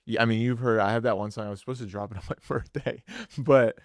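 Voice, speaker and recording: A man's voice: mid-range voice, steady tone, fast, no room sound, clean studio sound. A young man, a musician co-hosting a podcast, chatting casually and rambling with lots of filler words. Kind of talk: casual chat.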